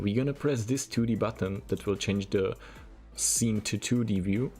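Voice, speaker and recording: A low, gravelly man's voice with a Czech accent talks through his coding tutorial one step at a time. He keeps returning to "we're gonna" as he goes. Faint music plays under him, and keys tap now and then. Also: a little mellow. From Czech Republic. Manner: plain step-by-step instructions, repeats "we're gonna". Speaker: programming tutor. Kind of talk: screen-recorded coding tutorial.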